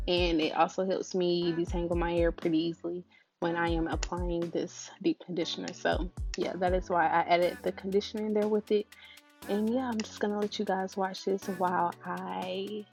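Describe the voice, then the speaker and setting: A young woman with a slightly raspy voice, chatting casually and in a relaxed way through a hair-care tutorial as a beauty vlogger. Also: lowish voice.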